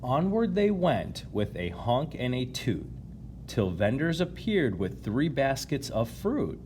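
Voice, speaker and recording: Guy reading storytime for kids, really expressive, takes his time, bit of noise. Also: medium pitch, slow pace. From United States, Illinois. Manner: animated reading, addressing young listeners. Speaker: chiropractor reading a picture book to children. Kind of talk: storybook read-aloud with casual asides.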